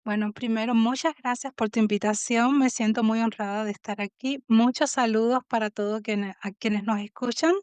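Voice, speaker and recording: A Cuban woman in her thirties, a podcast guest telling her story in a reflective, halting way with many restarts. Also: fairly high pitch, steady tone, a little mellow, smooth, no echo. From Cuba. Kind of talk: casual interview.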